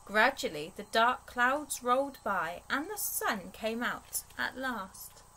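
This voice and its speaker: Young woman reading aloud, lively and unhurried. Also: fairly high pitch, a little hiss or hum, low-quality recording. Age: young adult. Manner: measured, storytelling delivery for small children. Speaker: children's storytime reader. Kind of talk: expressive read-aloud of a rhyming picture book. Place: small bedroom, indoors.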